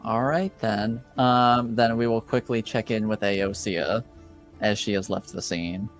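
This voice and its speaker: A man working as game master, narrating a tabletop roleplaying session in halting phrases. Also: fairly low pitch, fairly even intonation, faint music behind.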